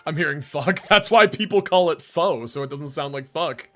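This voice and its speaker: A man's voice: fairly high pitch, normal speed, fairly bright tone, faint music behind. A young man streaming games, chatting casually with slangy, profane phrasing. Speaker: game streamer. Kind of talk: casual livestream chat.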